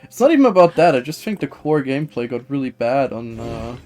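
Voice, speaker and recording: A man live streaming a video game, chatting casually with viewers in a hesitant, halting way that often repeats words. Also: medium pitch, very animated, darkish tone. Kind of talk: casual chat while gaming, reading and answering viewer comments. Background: video game music.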